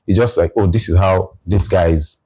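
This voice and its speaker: A Nigerian man talking through his views in a relaxed conversation, often restarting his phrases. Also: deep voice, normal speed, breathy edge, low-quality recording. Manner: reflective, restarts phrases, explains with examples. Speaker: guest. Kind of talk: casual conversation.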